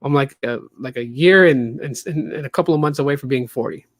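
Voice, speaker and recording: A man's voice: medium pitch, brisk. A man in his late thirties with a light voice, casually chatting as a podcast host, rambling with many fillers. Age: 30s. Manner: loose, rambling, frequent fillers. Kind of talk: casual livestream podcast chat.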